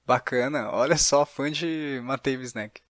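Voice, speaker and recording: A Brazilian man hosts an interview in a conversational, informal style. His voice sits at a medium pitch and he words his questions thoughtfully. The sound is dry and close. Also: measured pace, no room sound. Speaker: podcast host, interviewer.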